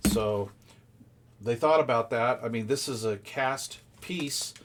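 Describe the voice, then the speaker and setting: A man's voice: medium pitch, takes it slowly, background noise. A man giving a casual, hands-on unboxing commentary, explaining details informally and thinking aloud as he goes. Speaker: product reviewer.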